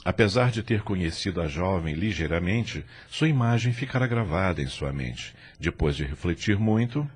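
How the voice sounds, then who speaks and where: A man's voice: sits low, animated, normal speed, breathy edge. A man narrating a scripted audio drama in a solemn storytelling style.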